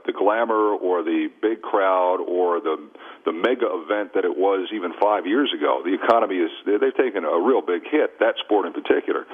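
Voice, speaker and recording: A man's voice: deep voice, husky, narrow, tinny audio. A man talking conversationally on a sports radio talk show, repeating phrases to drive his points home. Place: radio studio. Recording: thin, band-limited radio broadcast.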